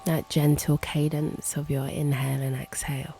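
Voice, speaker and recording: A woman with a low, mellow, creaky voice guides a meditation. She speaks in a soft hush, leaving long pauses and letting the ends of her phrases turn breathy. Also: flat delivery, soft background music, poor audio. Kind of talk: guided meditation, slow and hushed.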